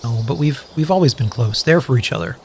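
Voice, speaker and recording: A man's voice: mid-range voice, lively intonation, a little fast, heavy background noise, close to an everyday voice. Man playing an earnest, wry wasteland survivor. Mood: tender. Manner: earnest and hesitant, with wry profane humour. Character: sincere, grateful, joking male wasteland survivor, loyal friend. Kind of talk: audio drama dialogue, naturalistic.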